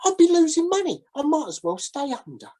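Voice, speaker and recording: A woman's voice: high-pitched, big pitch swings, brisk, mellow, voice pushed up. A woman voicing a shifty male sailor who talks like a wheedling salesman. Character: shifty male sailor hawking his own drowning.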